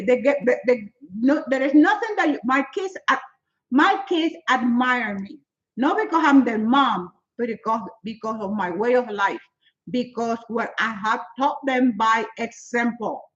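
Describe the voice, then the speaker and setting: Older woman, 70s, fitness motivator on a live stream, fairly high voice, dull lo-fi sound. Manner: emphatic, repetitive, non-native phrasing. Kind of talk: live-stream chat answering viewers.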